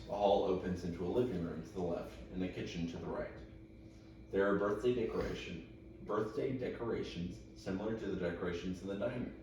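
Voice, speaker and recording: A drunk man at a script table read, reading stage directions haltingly and repeating phrases. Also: low voice. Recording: very echoey room, distant mic, clear noise.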